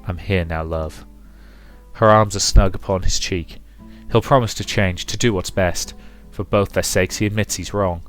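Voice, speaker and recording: A man's voice: on the low side, fairly even intonation, moderate pace, few pauses. A man hosting a let's play, reading a visual novel as dramatic, rhythmic narration with some commentary. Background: music.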